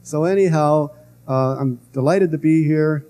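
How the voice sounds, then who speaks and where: Soft-spoken older man lecturing. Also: a little high. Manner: soft-spoken, halting with many fillers. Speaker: guest lecturer. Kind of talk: public lecture. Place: lecture hall. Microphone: podium microphone.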